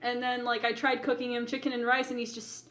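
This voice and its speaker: A woman with a high-pitched voice chats as a podcast co-host. She tells stories casually, in a playful, teasing manner, dropping "like" into her sentences. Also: little pitch movement, a little fast, a bit bright. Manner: playful, teasing, often says "like". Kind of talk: casual chat, animated storytelling.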